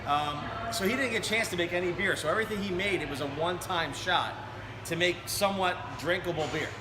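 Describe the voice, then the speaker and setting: Chatty man in an echoey taproom. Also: a little high. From Berlin. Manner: conversational storytelling with restarts. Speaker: brewery co-owner. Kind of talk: casual interview. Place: brewery taproom. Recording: echoey room with noise.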